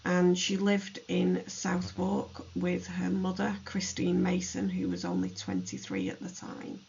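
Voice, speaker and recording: Gravelly, slow-speaking podcast host. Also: medium pitch, darkish tone, dull audio, slight background noise. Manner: slow, thoughtful, with many pauses and ums. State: gravelly, hoarse from allergies. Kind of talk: educational true-crime narration.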